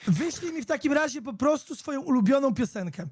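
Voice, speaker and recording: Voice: sits high, animated, fast, a little mellow, no room sound, studio quality. A fellow player in casual gaming chat, native Polish speaker, friendly and polite.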